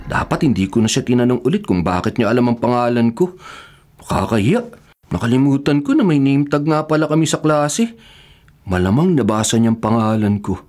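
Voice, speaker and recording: An actor playing an anxious yet cocky young college student from the province, with a Filipino accent, in melodramatic radio drama style. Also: male voice, medium pitch, lightly acted. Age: young adult. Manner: expressive, melodramatic thinking aloud. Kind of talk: Filipino radio drama acting with spoken thoughts. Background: music bed.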